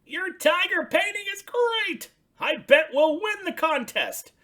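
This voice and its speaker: A woman voicing a big, friendly, eager bird in a children's storybook, loud and gushing. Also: very high voice, lively intonation, slow, voice pushed up, full character voice. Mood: eager, excited.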